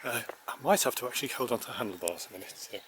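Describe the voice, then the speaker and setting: Male vlogger, rambling and polite, outdoors on a partly taped camera mic with clear street noise. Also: medium pitch, racing. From Wales. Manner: rambling, many hesitations, chatty and polite. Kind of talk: casual vlog narration. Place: outdoors by a road and in a country park. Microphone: handheld camera mic, partly taped over. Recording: outdoor camera audio with traffic and wind noise.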